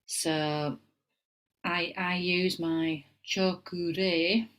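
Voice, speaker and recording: A woman's voice: on the low side, fairly even intonation, extremely slow, fairly bright tone. A middle-aged woman with a Yorkshire accent, giving a casual tarot reading at home and wandering into chatty asides. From England. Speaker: tarot reader.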